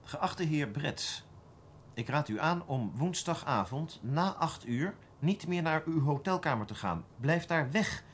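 A young detective sidekick in a radio play. His voice sits a little high and swings widely as he questions and puzzles things out. He is eager and easily astonished, over a slightly noisy background. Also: a little slow. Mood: puzzled. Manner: animated, questioning, repeats words when puzzled. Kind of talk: naturalistic radio drama dialogue. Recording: audible background noise.

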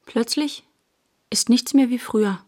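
A frank, high-voiced young woman. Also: unhurried, a little mellow. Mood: sober, matter-of-fact. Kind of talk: German radio play monologue and narration.

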